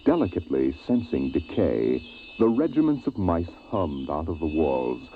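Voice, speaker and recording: A man's voice: rumbling low, big pitch swings, a little hiss or hum. Solemn male radio narrator, sweeping intonation. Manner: solemn, theatrical, deliberate phrasing. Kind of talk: dramatic scripted 1950s radio narration. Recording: tinny vintage radio recording, music behind.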